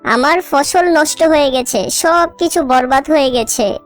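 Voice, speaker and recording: Woman as selfish female cartoon crow, high voice, whiny, insistent, faint music underneath. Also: a little slow. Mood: pleading, desperate. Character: selfish, scornful wife crow. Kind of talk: children's cartoon voice acting.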